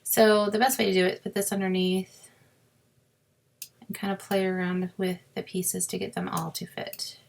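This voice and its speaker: A woman with a sore, scratchy throat, explaining a crafting software task step by step in a chatty way and muttering to herself as she clicks. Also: medium pitch, flat delivery, moderate pace. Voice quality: raspy with creaky fry. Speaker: crafting tutorial host. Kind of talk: screen-recorded software walkthrough.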